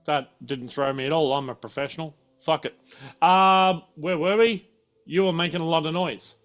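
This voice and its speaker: A bright, forceful man with a slightly high voice is running a tabletop game. He rambles casually, swears and cuts himself off, with music playing behind him. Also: moderate pace. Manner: rambling, sweary, self-interrupting. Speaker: game master.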